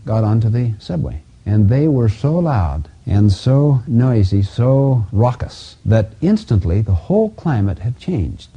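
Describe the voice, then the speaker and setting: Animated self-help lecturer, dark husky male voice. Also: medium pitch. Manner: emphatic, deliberate, repeats key phrases. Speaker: self-help seminar speaker. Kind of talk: motivational teaching lecture with personal anecdotes. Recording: dull, lo-fi audio.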